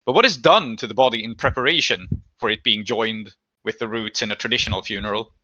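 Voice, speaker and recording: A man with a very bright, forceful voice leads a tabletop game, casually laying out scenes and putting questions to players. The sound is close and dry, with a slightly dull, lo-fi edge. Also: lowish voice, quick pace, no echo. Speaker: game master and host of a tabletop actual-play podcast.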